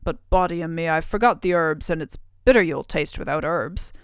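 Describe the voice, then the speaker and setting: A woman voicing a greedy, gruff man who eats children, speaking in an old-fashioned country dialect. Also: low-pitched, normal speed, dry recording, clean studio sound.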